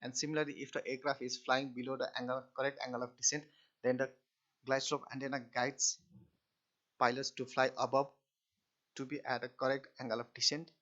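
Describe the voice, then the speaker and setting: Man lecturing haltingly on a dull recording. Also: medium pitch, flat delivery, sharp tone. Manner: halting, repetitive phrasing with restarts. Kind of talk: explanatory technical lecture.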